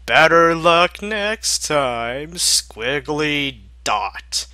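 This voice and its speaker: A man's voice: on the high side, animated, drawn-out words, sharp tone, smooth, no room sound, studio quality, pitched above the performer's own voice, exaggerated voice. A man voicing a smug, scheming female inventor in a comedic story reading, sly and falsely sweet.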